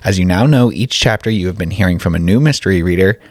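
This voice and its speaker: Man, podcast host, deep voice, brisk pace, scripted upbeat intro, dry sound. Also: expressive, a little mellow, no echo.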